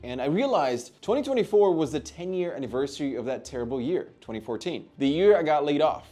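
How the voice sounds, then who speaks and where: A man's voice: medium pitch, darkish tone. A man in his thirties, born in Brazil with Portuguese as his first language, talking earnestly and emphatically in a scripted self-improvement vlog. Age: 30s. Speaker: lifestyle YouTuber.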